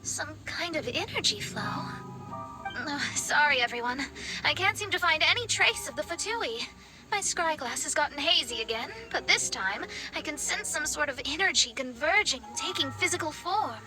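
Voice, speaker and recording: High-pitched young astrologer. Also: female voice, expressive, moderate pace, poor audio. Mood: pondering. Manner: articulate, explanatory. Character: self-assured young female astrologer, analytical and proper. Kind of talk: video game character acting. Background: game music.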